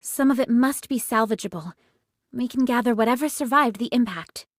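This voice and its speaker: A woman's voice: a little high, normal speed, no room sound, studio quality, natural voice. A woman playing a caring, decisive android squad leader in a game, with a direct, commanding manner. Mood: measured. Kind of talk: anime-style game voice acting.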